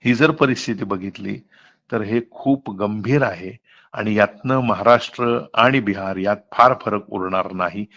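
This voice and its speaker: A man's voice: lowish voice, a little slow, fairly bright tone. A middle-aged man explains things earnestly and in detail, mixing in English words, in the manner of a college principal. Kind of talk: earnest explanatory phone conversation. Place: phone call. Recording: muffled lo-fi phone call recording.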